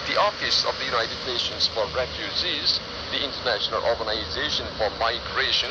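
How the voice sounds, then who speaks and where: A man reading scripted news in a formal, stern, declamatory manner, heard over shortwave radio from a handheld receiver's speaker. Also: medium pitch. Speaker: radio newsreader. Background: heavy radio static and hiss.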